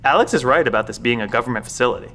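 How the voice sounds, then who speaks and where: Audio drama: weary, secretive male professor, played by a man, mid-range voice, measured explainer. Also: mid-range voice, a bit bright, a little hiss or hum, natural voice. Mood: grave, explanatory. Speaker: professor, secret bunker guardian.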